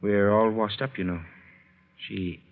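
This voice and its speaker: Anxious, evasive husband, low voice, melodramatic 1940s radio style, scratchy phone-thin sound. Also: male voice, steady tone, a little slow, phone-quality sound. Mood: subdued. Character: anxious, evasive husband pleading with his lover. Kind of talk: 1940s radio drama acting.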